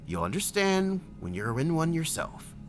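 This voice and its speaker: A man's voice: on the high side, very animated, measured pace, faint music behind, pitched above the performer's own voice. A man voicing a brash, crude, easygoing young man with blunt, slangy talk in a narrated story. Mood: defiant, brash. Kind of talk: acted dialogue in a narrated story.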